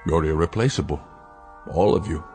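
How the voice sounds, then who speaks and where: A man's voice: very deep voice, moderate pace, a little mellow, faint music behind. A man voicing a commanding, persuasive inventor father in a radio drama. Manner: commanding, coaxing. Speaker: scientist.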